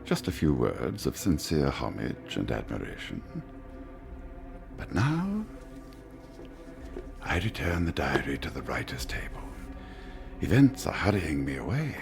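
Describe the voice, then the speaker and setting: An actor playing a fat, scheming foreign count in an audio drama, florid, grandiose and smoothly condescending. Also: male voice, rumbling low, full character voice. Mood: self-satisfied, grandiose.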